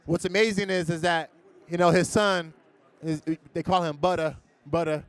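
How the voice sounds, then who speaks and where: A man's voice: a little high, fairly even intonation, moderate pace, smooth. A man speaking casually as an interviewee.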